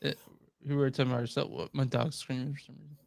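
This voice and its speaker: A man's voice: medium pitch, little pitch movement, fast, creaky, a little mellow, no room sound, studio quality. A man co-hosting a podcast, chatting casually and informally with some swearing. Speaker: podcast co-host.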